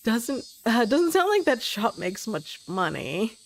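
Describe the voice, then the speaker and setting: A woman's voice: on the high side, sing-song, slow pace, slight background noise. A woman streamer giving casual, musing and lightly amused commentary on a game as she plays.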